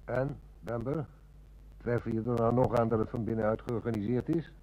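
An actor playing a shrewd, methodical police inspector near retirement in a radio play, with measured, polite questioning. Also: male voice, mid-range voice, fairly even intonation, dark tone, lightly acted, rough recording. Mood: polite, probing. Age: older. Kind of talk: Dutch radio play acting.

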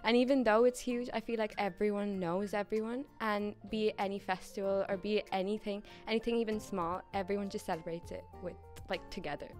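Teen schoolgirl, library interview, mid-pitched, soft music behind. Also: fairly even intonation, brisk, hardly any pauses. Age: teens. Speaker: student. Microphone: handheld mic held by interviewer.

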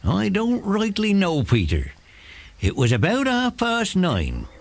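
Old plain-spoken country shepherd for children's audio play: unhurried, superstitious, very animated pitch. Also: male voice, vocal fry, heavily acted. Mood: troubled, puzzled. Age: older.

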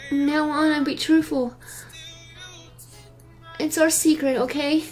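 A high-voiced young superhero girl, played by a woman, lovesick and stubborn. She pleads with raw, earnest emotion in audio drama style, with music underneath. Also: fairly even intonation, slow, darkish tone. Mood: earnest, tender. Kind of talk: fan audio drama acting.

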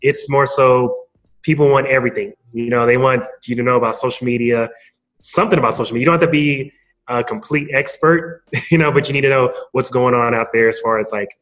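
A man giving an informal, halting answer as a guest in a casual interview. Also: medium pitch, a bit bright, dull audio. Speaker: podcast guest, marketing speaker.

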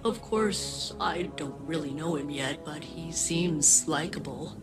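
An actress voicing a sardonic female artificial intelligence in a video game, dry and deadpan. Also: low-pitched, takes it slowly. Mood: dry, unimpressed. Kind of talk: comic video game dialogue. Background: music.

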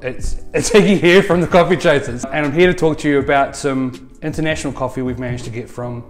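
A man's voice: fairly high pitch, a little fast, soft background music. A man in his thirties with a New Zealand accent, chatting casually as he reviews coffee in his home kitchen. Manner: jokey, chatty, playful. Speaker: coffee show host.

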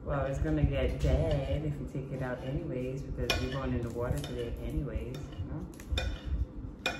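Low-voiced woman vlogging in an echoey kitchen. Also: steady tone, normal speed. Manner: sing-song, playful with her child. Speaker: vlogger mother. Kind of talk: casual family vlog chat. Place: home kitchen, indoors. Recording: echoey kitchen with loud background noise.

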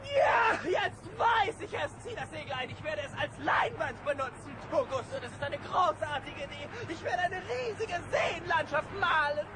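Ein Mann spielt einen exzentrischen, begeisterten, selbstverliebten Künstler-Gummibären in einem Kinderhörspiel. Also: male voice, extremely high pitch, lively intonation, moderate pace, hardly any pauses, over a noisy crowd. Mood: elated. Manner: exuberant, self-admiring. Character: flamboyant excitable male gummy bear artist. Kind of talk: children's cartoon audio drama. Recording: very noisy cassette, busy background.

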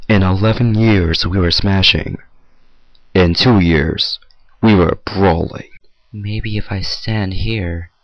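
A man with a gravelly, husky voice performing short dramatic lines as an amateur voice actor's demo. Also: low voice, no echo. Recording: muffled, dry lo-fi home recording.

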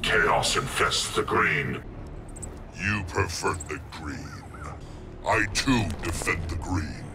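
A menacing male fighting-game combatant with a low, creaky voice. He delivers grave, ominous declarations at a slow, deliberate pace, with game music behind him. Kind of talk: video game character dialogue.

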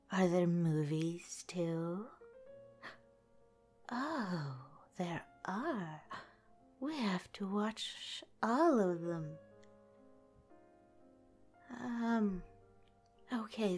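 A woman playing a gentle, teasing lamia girlfriend in an ASMR roleplay, speaking softly and breathily, often in a whisper. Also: lowish voice. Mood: affectionate, intrigued.

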